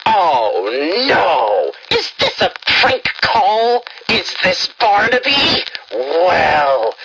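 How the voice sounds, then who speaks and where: Woman as a fussy, slow-spoken bookish man. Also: on the low side, noisy background, exaggerated voice. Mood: suspicious, indignant. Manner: precise, prim, indignant. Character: fussy, bookish, easily irritated male puppet butterfly enthusiast. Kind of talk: puppet show character acting on a phone call.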